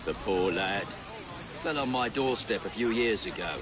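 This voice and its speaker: A man's voice: on the low side, moderate pace, very noisy, natural voice. A man playing a chatty, kindly working-class beer delivery driver in video game dialogue. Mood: sympathetic. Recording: loud music behind the voice.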